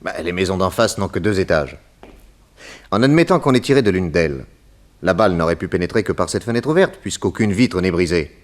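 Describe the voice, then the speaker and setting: An actor playing a calm, methodical male detective in a radio serial, reassuring and measured as he explains. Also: sits low. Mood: assured, reasoning.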